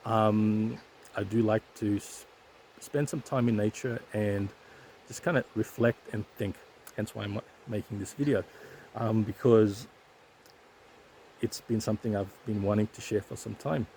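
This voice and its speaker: A man's voice: fairly low pitch, fairly even intonation, unhurried, darkish tone, a little hiss or hum, low-quality recording. A man vlogging outdoors on a hike, talking casually about his stutter with frequent repeated sounds and restarts. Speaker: YouTuber sharing stuttering advice. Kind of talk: casual vlog talk to camera. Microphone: weak handheld setup, shaky.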